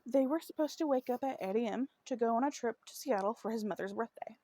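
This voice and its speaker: A woman hosting a true crime podcast with a slightly rough voice, narrating a case from notes in an apologetic way and often tripping over her words. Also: on the high side, fast talker, dark tone, dry recording. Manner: apologetic, trips over words, many fillers.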